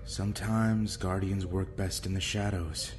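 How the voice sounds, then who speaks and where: A man playing a gruff deep-voiced squad captain. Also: fairly even intonation, measured pace, slight background noise. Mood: grave. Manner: blunt, curses.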